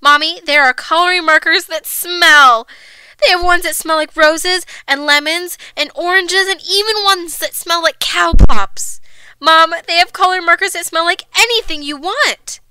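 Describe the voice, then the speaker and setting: A woman's voice: extremely high pitch, moderate pace, sharp tone, dry recording, pitched above the performer's own voice, heavily acted, rough recording. A woman voicing an eager, wheedling little girl in a storybook, pleading emphatically and drawing out key words. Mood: pleading, insistent. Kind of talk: acted children's storybook dialogue.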